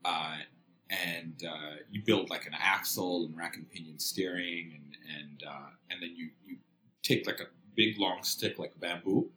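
A man's voice: low voice, a little slow, sharp tone, roomy sound. A middle-aged man from Kenya, a podcast guest telling a casual rambling story with frequent laughs. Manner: rambling, laughs often between phrases.

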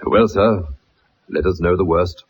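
A gruff older colonel in a muffled old radio drama. His voice is low and very raspy, with lively swings as the proud father speaks. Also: unhurried. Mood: grave, resigned.

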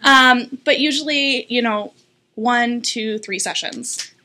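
A woman speech-language pathologist explaining voice and hormones in an interview, steady and informative with frequent ums. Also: on the high side, takes it slowly, a little hiss or hum. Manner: clear, informative, many ums.

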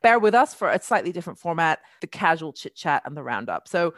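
A woman's voice: low voice, very animated, brisk, no room sound, clean studio sound. A middle-aged British woman, chatty and articulate, hosting a casual discussion about culture. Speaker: podcast host, columnist and historian.

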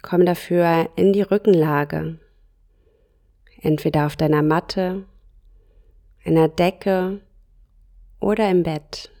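A woman guiding a yoga nidra relaxation, speaking softly and calmly in short phrases with long pauses. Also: on the low side. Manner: slow, soft and calm, with pauses between short phrases. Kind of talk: guided relaxation meditation.

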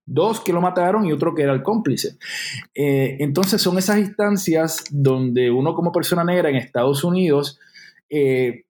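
A man's voice: a little high, a little mellow. A man in his forties from Puerto Rico with a Puerto Rican accent, speaking as an interview guest in an earnest, reflective way, thinking aloud with restarts. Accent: Puerto Rican Spanish. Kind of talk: conversational interview.

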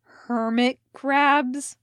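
A woman voicing a gruff, curt, crabby but soft-hearted man in a story. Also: sits high, fairly even intonation, very slow, no room sound, studio quality, heavily acted. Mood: growling. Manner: growling, curt, exasperated. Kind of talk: storybook character voice.

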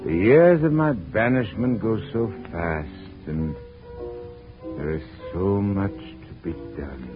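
An actor playing a dedicated middle-aged French scientist in an old-time radio drama, earnest and declamatory. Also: male voice, fairly low pitch, lively intonation, slow pace. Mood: wistful. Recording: tinny old radio recording with music.

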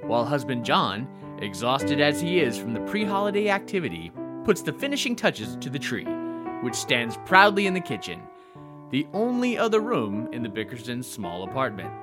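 Animated male old-time radio announcer, guitar behind. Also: medium pitch, normal speed. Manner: theatrical, presentational. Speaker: announcer and narrator. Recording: guitar music under the voice. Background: plucked guitar music.

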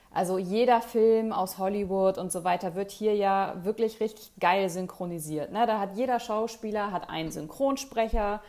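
A woman chatting casually with a friend, talkative and quick to laugh, with lots of fillers. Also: mid-range voice, a little mellow. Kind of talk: casual chat.

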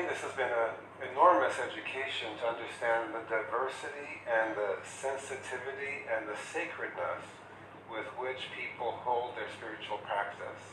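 A man's voice: medium pitch, reverberant, recorded from a distance, background noise. A man teaching a class on counseling, talking casually and acting out short example questions. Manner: conversational, acts out client questions. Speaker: instructor. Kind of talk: classroom lecture.

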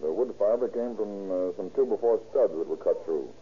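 A tinny, narrow old radio recording carries a self-assured American police chemist. The voice is low and husky, with a matter-of-fact 1930s crime drama delivery. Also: male voice, moderate pace, warm tone. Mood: confident, explaining.

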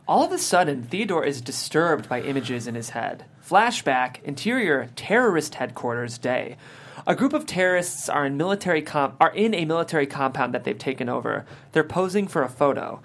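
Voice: medium pitch, sing-song, a little fast. A narrator reads stage directions and scene headings aloud at a script table read. The voice sits in a middle register. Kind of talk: reading screenplay stage directions.

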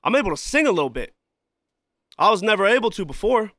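A man talks loosely into a close home mic, circling back on his words and filling gaps with "you know" and "man." His voice sits a little high, with a throat that keeps catching. The sound is dry, with no room around it. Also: big pitch swings, fast talker, sharp tone, no room sound. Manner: loose, rambling, repeats himself, frequent "you know" and "man". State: throat trouble, coughing and clearing throat. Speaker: podcast host and musician. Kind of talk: casual solo podcast talk.

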